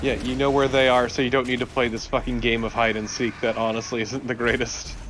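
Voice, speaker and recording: Male gaming co-commentator, medium pitch, irreverent and foul-mouthed, casual delivery, a little background noise. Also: flat delivery, a little fast. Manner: irreverent, sarcastic, profane. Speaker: let's play co-commentator.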